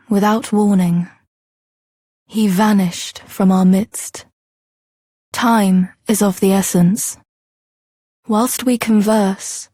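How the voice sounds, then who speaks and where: A woman's voice: medium pitch, warm tone, dry recording. A woman playing a solemn, reverent maiden guardian in a fantasy video game, speaking in a formal, ceremonial manner.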